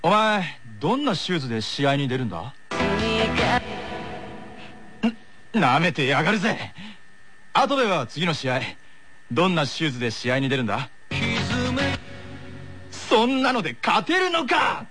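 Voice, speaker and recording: A man voicing a brash, confrontational teenage tennis player, rough and challenging, for an anime radio show. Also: fairly high pitch, sing-song, normal speed, pauses often, music in the background. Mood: cocky challenge.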